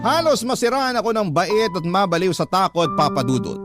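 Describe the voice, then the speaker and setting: Higher-pitched Filipino man narrating over music. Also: expressive, a little fast. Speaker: radio drama narrator reading a letter sender's story. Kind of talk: dramatic scripted first-person narration. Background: dramatic background music.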